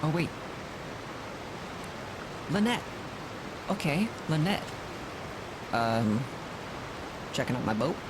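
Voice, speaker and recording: A streamer giving casual gameplay commentary in halting, puzzled asides as they react to dialogue. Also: medium pitch, sing-song, noisy background. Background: faint game music.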